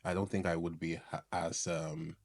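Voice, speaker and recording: Young man, low voice, easygoing podcast guest who laughs a lot. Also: normal speed. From Ireland. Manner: laughs often, many "like" fillers, relaxed and self-mocking. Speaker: guest stylist. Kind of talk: casual podcast chat.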